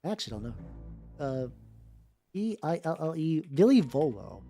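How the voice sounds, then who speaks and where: A woman livestream host with a very lively, swooping pitch. She chats casually, stumbles, repeats herself and wanders off into asides as she reads fan fiction aloud. Music plays behind her. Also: moderate pace. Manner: rambling, stammering, repeats words and breaks off to comment. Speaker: vtuber streamer host. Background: background music.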